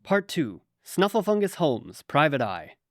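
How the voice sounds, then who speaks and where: Wildly animated, pompous fluff-ball detective. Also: female voice, low-pitched, measured pace, dry recording, clean studio sound. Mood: grand self-narration. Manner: pompous, theatrical, florid old-fashioned phrasing. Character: grandiose, playful fluff-ball creature posing as a great detective. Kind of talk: comedic audio drama.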